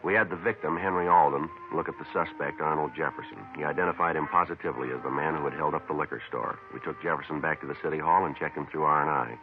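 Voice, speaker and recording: An actor playing a terse, unflappable American police detective in a 1950s radio drama, clipped and businesslike. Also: male voice, rumbling low, quick pace, hardly any pauses, over music. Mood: deadpan, procedural. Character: terse, unflappable male police detective narrating his case. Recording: tinny, noisy 1950s radio recording.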